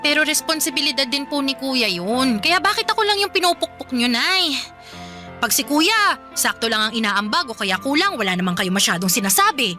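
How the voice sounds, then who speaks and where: A woman's voice: high voice, animated, moderate pace, sharp tone, soft background music. A woman acting a weary, polite young daughter who protests and pleads, in a Filipino radio drama with a Filipino accent. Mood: aggrieved protest. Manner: respectful but protesting, pleading.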